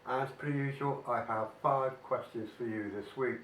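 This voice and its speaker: A man's voice: mid-range voice, steady tone, a bit bright. An older man, talking casually through weekly questions while he has a cold, with many ums and mm-hmms. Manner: slow, chatty, frequent mm-hmms and ums. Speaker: vlogger. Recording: echoey room, mic at a distance, faint noise.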